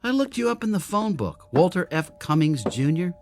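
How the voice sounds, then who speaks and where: A man's voice: sits high, very animated, normal speed, a little mellow, faint music behind. An actor playing a distraught, incredulous man who learns he is an android, in a radio drama style. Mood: tense, demanding. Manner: agitated, pleading, incredulous.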